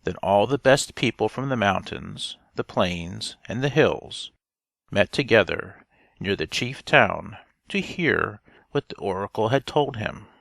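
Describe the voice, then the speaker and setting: A man reading a storybook narration aloud in a measured, deliberate manner. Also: on the low side, lively intonation, creaky, a bit bright, no room sound. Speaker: audiobook reader.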